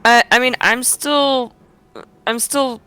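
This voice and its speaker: A woman's voice: fairly high pitch, fairly even intonation, slow, pushed and bright, clear, resonant tone, faint music behind. A woman chatting casually as a player in a tabletop roleplaying game.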